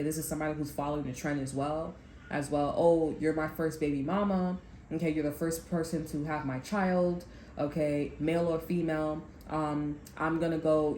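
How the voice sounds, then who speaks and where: Low-pitched woman, tarot reader, blunt rambling monologue, roomy echo. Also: mellow. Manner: rambling, blunt, swears when heated. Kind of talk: casual tarot reading monologue. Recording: echoey room with faint background noise.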